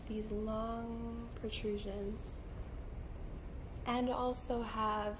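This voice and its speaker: A woman in her twenties speaking softly and gently in a measured ASMR style, explaining science with frequent pauses. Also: mid-range voice. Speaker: host, paleontology graduate student and illustrator. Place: indoors, lab room with specimen shelves. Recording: clear steady background room noise.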